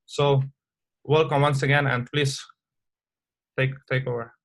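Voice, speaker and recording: Halting man hosting a call. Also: medium pitch, steady tone. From Slovenia. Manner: hesitant, halting phrasing. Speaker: call host. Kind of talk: introducing guests on a conference call.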